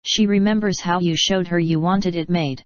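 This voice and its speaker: A woman's voice: lowish voice, fairly even intonation, moderate pace, no room sound, lightly acted. Synthetic meek servant woman, as a narrator voices her. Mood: compliant, deferential. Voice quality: synthetic text-to-speech voice. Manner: compliant, deferential, stilted. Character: meek, anxious, obedient female servant. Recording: dull, lo-fi audio.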